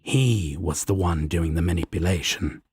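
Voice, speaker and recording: Casting: male actor as laid-back, protective devil roommate for comfort roleplay; deep, very husky, unhurried and affectionate. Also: darkish tone, no echo, clean studio sound. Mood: gently reassuring. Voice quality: low, breathy, husky. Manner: drawling, affectionate, casual reassurance. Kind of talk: intimate roleplay audio acting.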